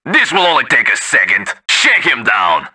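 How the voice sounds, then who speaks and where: Forceful, raspy Soviet tank brawler. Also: male voice, medium pitch, wildly swooping pitch, normal speed, full character voice. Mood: aggressive, taunting. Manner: taunting, punchy barked phrases. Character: cocky, brawling Soviet tank commander, tough and menacing. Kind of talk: video game unit voice lines.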